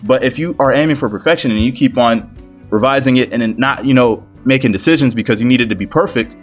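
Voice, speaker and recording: A man's voice: medium pitch, fast talker. Slangy casual man over background music. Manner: slangy, bro-heavy, animated storytelling and self-deprecating. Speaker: video creator giving game development tips. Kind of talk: casual advice vlog. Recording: music behind the voice.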